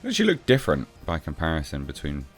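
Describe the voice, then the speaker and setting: Male reviewer, low-pitched voice, blunt sceptical off-the-cuff commentary over clear background music. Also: huge pitch swings, racing. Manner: blunt, sceptical, swears casually. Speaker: film reviewer, channel host. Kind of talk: casual off-the-cuff commentary on film news.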